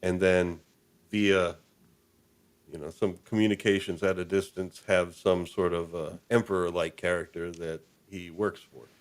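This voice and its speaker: A man's voice: deep voice, level tone, measured pace. A man hosting a casual, conversational interview as a podcast host.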